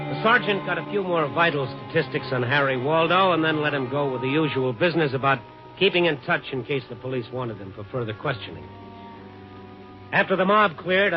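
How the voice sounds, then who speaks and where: An actor with an American accent playing a weary, wry newspaper reporter in a 1950s radio drama, both narrating and talking in scenes. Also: male voice, on the high side, lightly acted. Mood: wry narration. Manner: wry, hard-boiled. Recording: dull 1950s radio recording with music.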